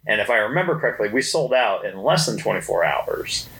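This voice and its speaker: A man with a bright, forceful voice talks casually on a podcast. His pitch moves around a lot as he recounts things emphatically, in an animated way. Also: fairly quick, vocal fry, poor audio. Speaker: podcast co-host recalling company history.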